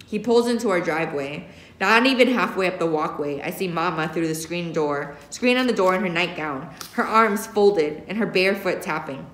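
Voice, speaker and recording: A woman in her thirties, a teacher reading a young-adult novel aloud in an expressive first-person narrating style. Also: on the low side, normal speed. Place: indoor classroom. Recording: echoey classroom recording.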